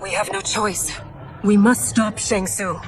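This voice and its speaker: A woman's voice: medium pitch, steady tone, unhurried, lightly acted. A woman as an earnest, regal warrior queen. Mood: resolved. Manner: formal, earnest, dramatic. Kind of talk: dramatic video game cutscene acting. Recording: loud cinematic music over dialogue.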